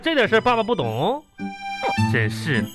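An exasperated father in a comic radio play, with a Northeastern Chinese accent. He sputters and repeats himself as he scolds, his pitch swinging widely. Music plays clearly underneath. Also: huge pitch swings, normal speed. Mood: exasperated, instructing. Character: exasperated, blustering father of a little girl. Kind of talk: live comedy radio drama acting.

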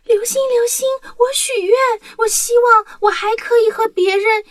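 A woman voicing a small, proud, childlike lamb in an expressive way for a children's audio story. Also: very high voice, normal speed. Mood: wishful.

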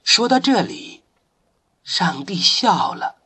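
A man narrating a radio drama as a solemn, expressive storyteller. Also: high-pitched, slow, breathy edge, full character voice. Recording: voice over prominent music.

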